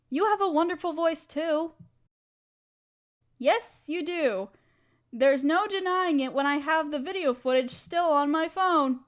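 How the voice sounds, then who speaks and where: Woman performing young wife, high-pitched, slow-paced, affectionate, playful, teasing, intimate roleplay audio. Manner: tender, teasing, chatty.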